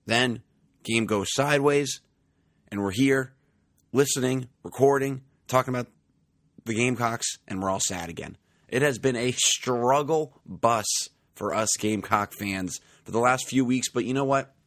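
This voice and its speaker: A young man, hosting a sports podcast in a casual, chatty monologue, his voice slightly raspy. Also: mid-range voice, a little slow, fairly bright tone, dry recording, clean studio sound. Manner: chatty, conversational, many fillers. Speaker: podcast host.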